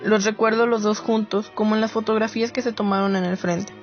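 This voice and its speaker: A woman's voice: mid-range voice, steady tone, brisk, close to an everyday voice. An actress playing a reflective woman writer, narrating a radio drama from a script. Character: reflective woman writer gathering war memories. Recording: muffled lo-fi audio under music.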